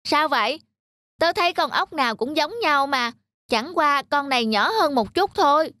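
A woman voicing a young child in a gentle moral cartoon, speaking simply and earnestly. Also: high-pitched, expressive, moderate pace, dry recording, clean studio sound, soft background music. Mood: friendly. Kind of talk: Vietnamese children's cartoon dubbing.

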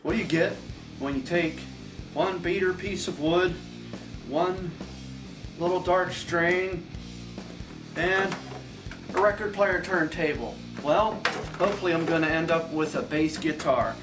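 A man's voice: on the high side, a little slow, pauses often, over music. A middle-aged man casually demonstrating something he has built at home. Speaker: homemade instrument inventor. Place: room at home.

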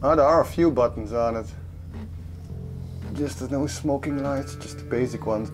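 A man's voice: medium pitch, moderate pace, over music. A man giving casual, curious commentary while he walks through indoor simulators, filmed on a handheld camera. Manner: relaxed, curious, talking while walking. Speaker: urban explorer host. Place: inside a large indoor training hall and plane simulator.

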